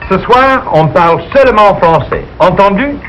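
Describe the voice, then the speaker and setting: An actor playing a suave, effusive French tutor with a French accent in a 1940s radio sitcom, in broken English sprinkled with French. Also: male voice, high voice, animated, moderate pace, a bit bright. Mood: brisk, cheerful. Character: suave, eager French language tutor, flattering and excitable. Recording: dull, noisy old radio broadcast.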